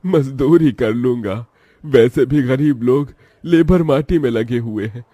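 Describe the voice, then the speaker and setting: Man voicing a humble, mellow old village father. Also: mid-range voice, a little slow, no room sound, pitched above the performer's own voice, natural voice. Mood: worried, resigned. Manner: pleading, earnest.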